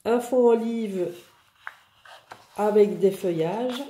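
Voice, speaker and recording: A woman's voice: medium pitch, slow pace, warm tone, roomy sound, slight background noise. A woman with a head cold presenting new craft paper collections for her online shop in a chatty way, with many fillers. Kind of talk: casual product showcase.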